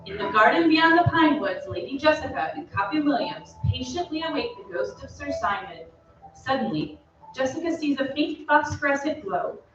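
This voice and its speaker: A woman's voice: sits high, big pitch swings, moderate pace, muffled recording, over music. A woman narrating a scripted old-time radio drama on a theatre stage. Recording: very echoey stage, distant mic, noisy.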